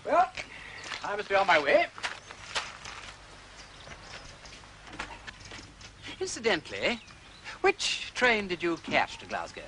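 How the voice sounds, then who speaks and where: An actor playing a genial, shrewd, aristocratic English gentleman sleuth with an upper-class accent, urbane and probing, in a period detective drama. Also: sits high, wildly swooping pitch, normal speed, background noise. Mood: breezy. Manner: affable, urbane, probing with questions.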